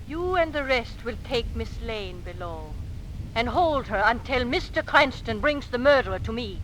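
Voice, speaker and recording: Cutting, composed melodrama woman, deliberate theatrical delivery, faintly hissy old radio recording. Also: on the high side, expressive, fairly bright tone, rough recording. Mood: angry, commanding. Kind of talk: old-time radio drama acting.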